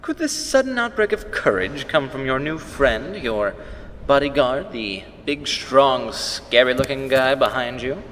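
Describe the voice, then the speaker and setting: A man's voice: mid-range voice, animated, measured pace, a bit bright, slight background noise. A man acting in a radio play as a cocky, needling captive who taunts in wordy, theatrical sarcasm. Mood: mocking. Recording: long reverb, music behind. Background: music.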